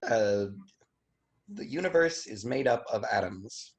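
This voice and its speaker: A man's voice: mid-range voice, animated, a little slow, lightly acted. A young man playing a casual, unsure college student in a staged play reading. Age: young adult. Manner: hesitant, casual, filler-heavy.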